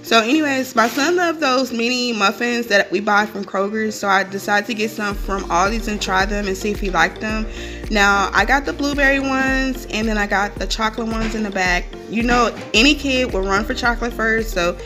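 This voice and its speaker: A woman's voice: fairly high pitch, moderate pace, over music. A young woman chatting casually to her viewers while showing a grocery haul in her home kitchen, upbeat and full of "um" and "you guys".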